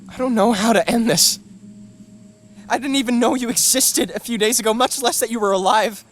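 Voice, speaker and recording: A man's voice: very high-pitched, moderate pace, slight background noise. An actor playing an angry, desperate young man in a dramatic horror video game cutscene. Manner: foul-mouthed, emotional, confrontational.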